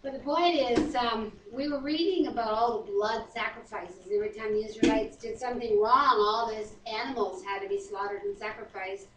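A middle-aged woman, chatty and quick to laugh, co-hosting a home Bible reading and praying. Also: a little high, fairly even intonation, a bit bright, a little hiss or hum. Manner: chatty, laughs easily, self-deprecating. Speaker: co-host leading prayer and Bible reading. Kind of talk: casual chat, prayer and scripture reading. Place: wood-paneled home dining room, indoors. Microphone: far camera. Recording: roomy, distant home video.

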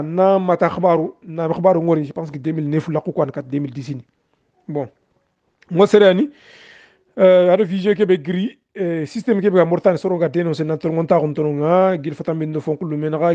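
A man's voice: fairly high pitch, dark tone, muffled recording. A man from Mauritania, a guest author, talking in a hesitant way with many fillers and restarts. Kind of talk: casual interview talk.

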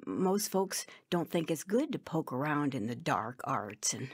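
An actress playing an easygoing, teasing old grandmother in a radio drama, husky and folksy. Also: deep voice, very animated, no room sound, clean studio sound, heavily acted. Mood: gentle, earnest. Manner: folksy, unhurried, chuckling.

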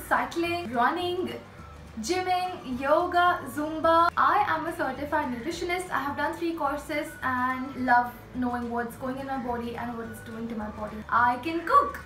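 An eighteen-year-old woman chats straight to camera, her voice high and sharp-edged. She talks in a small room with a roomy echo, and her hands are busy as she speaks. Soft music plays faintly underneath. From India. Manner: expressive, gestures with her hands. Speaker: YouTuber. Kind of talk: casual facts-list vlog. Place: small indoor room by striped blinds. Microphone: camera in front, chest-up framing.

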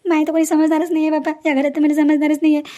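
A very high voice, chattering quickly, belongs to a put-upon cartoon mother acted by a woman. She complains and defends herself in rustic Varhadi Marathi, repeating her grievances in a self-pitying way. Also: completely flat delivery, mellow, highs cut off, lightly acted. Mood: aggrieved, lamenting. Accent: Varhadi Marathi from Vidarbha, Maharashtra. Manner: complaining, repetitive rustic wailing talk. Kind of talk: cartoon family comedy dialogue.

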